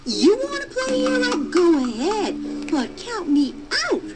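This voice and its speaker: An actress playing a feisty, indignant young girlfriend with sassy, drawn-out delivery in an audio drama. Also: very high voice, very animated, full character voice. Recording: noisy audio.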